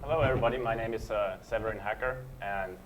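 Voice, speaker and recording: A man, giving a relaxed conference talk full of ums and uhs. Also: on the low side, quick pace, heavy background noise. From Switzerland. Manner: relaxed, self-deprecating, frequent um and uh. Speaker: panel speaker.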